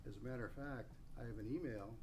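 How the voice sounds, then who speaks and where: A man with a creaky, gravelly voice chairs a formal meeting discussion. He presses his points insistently and argues back. Clear background noise runs under the recording. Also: mid-range voice, quick pace. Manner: insistent, argumentative. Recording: noisy public meeting recording.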